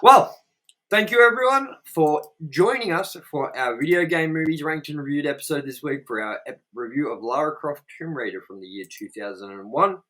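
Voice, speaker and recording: A man's voice: a little high, expressive, normal speed, pushed and bright. A man hosting a casual movie review show, jokey and loose, swearing freely. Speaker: show host.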